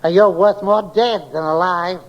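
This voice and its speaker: A man's voice: sits high, steady tone, normal speed, lo-fi sound, natural voice. An actor playing a cruel, gloating rich old man, a villain in 1940s radio drama style.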